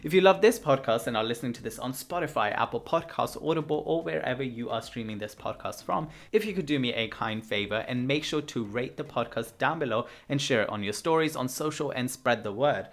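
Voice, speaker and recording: A man hosting a podcast in a voice of ordinary pitch. He keeps his delivery upbeat and bouncy, with pitch swinging up and down, first through a scripted intro and then in friendly back-and-forth with his guest. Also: animated, fairly quick, gravelly, hardly any pauses. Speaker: podcast host. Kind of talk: podcast intro and interview chat.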